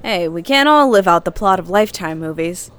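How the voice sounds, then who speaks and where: A woman's voice: fairly low pitch, animated, brisk, fairly bright tone, clean tone. An actress playing a talkative, candid, newly single woman on a first date in a naturalistic audio drama. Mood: playful.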